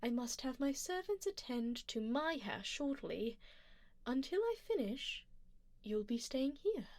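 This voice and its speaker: A high-voiced, refined vampire duchess, played by a woman, dotes possessively on her listener in an ASMR roleplay. She speaks softly and slowly, often near a whisper, with genteel condescension and quiet command. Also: big pitch swings, dry recording, heavily acted. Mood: haughty, amused. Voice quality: soft, breathy whispered passages. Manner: genteel, measured, formal, gently commanding. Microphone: close binaural microphone, dry.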